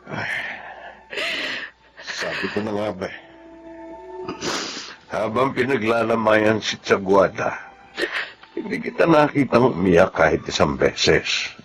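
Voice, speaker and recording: An actor playing a kind, humble, awkward neighbor in a radio drama, hesitant and gently coaxing. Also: male voice, fairly low pitch, lively intonation, slow pace, pauses often. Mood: consoling. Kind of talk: Tagalog radio drama acting.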